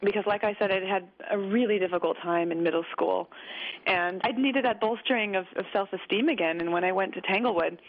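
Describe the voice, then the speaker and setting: A woman, a guest opera singer, telling stories in a chatty, animated way during a radio interview. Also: mid-range voice, a little fast. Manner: chatty, animated, laughs easily. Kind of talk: radio interview, casual storytelling. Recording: slightly muffled radio broadcast.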